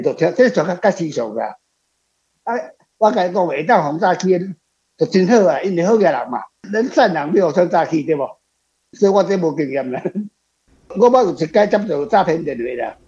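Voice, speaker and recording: A man's voice: fairly high pitch, warm tone, husky, muffled recording. A man with a Taiwanese accent chatting off the cuff as a guest author, rambling through anecdotes and repeating his phrases. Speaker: author guest answering questions. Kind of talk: unscripted conversational talk.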